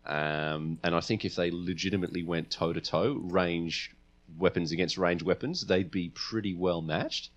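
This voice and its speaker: Low-voiced man chatting on a podcast. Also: moderate pace. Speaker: podcast co-host. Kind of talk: casual debate about science fiction characters.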